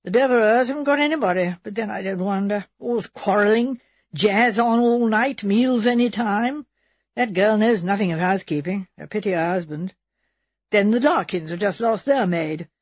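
A woman voicing a gruff, abrupt English spinster of fifty who talks in brisk, opinionated, clipped sentences. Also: mid-range voice, no room sound, dull audio, lightly acted. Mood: blunt, disapproving.

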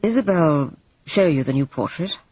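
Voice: medium pitch, lively intonation, moderate pace, darkish tone, dry recording, natural voice. Reader playing a touchy male artist, bitter and impatient, wide-swinging delivery. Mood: wry. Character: proud, touchy male painter, quick to furious anger. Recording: dull lo-fi dry recording.

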